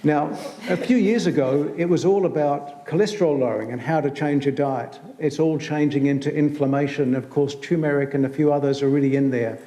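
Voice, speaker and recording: A man, a clinician and researcher, answering on a panel in a measured, explanatory way with frequent restarts. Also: a little high, warm tone. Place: large hall. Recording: echoey hall.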